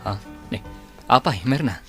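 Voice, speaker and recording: A man's voice: medium pitch, expressive, moderate pace, bright, forceful tone, husky, faint music behind, heavily acted. An actor playing a brooding, embittered man in a radio drama. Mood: alert, agreeable. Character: brooding embittered man seeking revenge for his family. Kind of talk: Ilocano radio drama acting.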